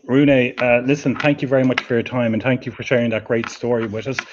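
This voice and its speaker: A man's voice: medium pitch, little pitch movement, quick pace. A man moderating a webinar session, politely thanking speakers and handing over with frequent fillers. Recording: dull lo-fi audio with clear noise.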